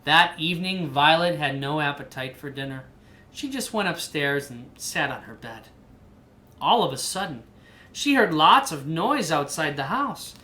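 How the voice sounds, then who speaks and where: A woman reading a children's storybook aloud in an animated style. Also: deep voice, unhurried. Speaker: storybook reader.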